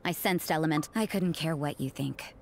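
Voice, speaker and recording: A woman's voice: low-pitched, normal speed, noisy background. A woman voicing a cool, cynical adult nun in an anime game, with a dry, unbothered, faintly mocking manner. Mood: cool, suspicious. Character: cool, aloof, cynical adult nun, secretly a watchful investigator. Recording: music behind the voice.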